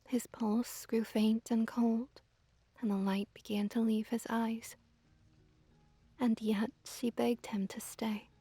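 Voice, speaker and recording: A woman softly narrating a scripted ASMR story in a gentle, measured, breathy whisper. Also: a little high, no room sound. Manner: soft, gentle, measured delivery.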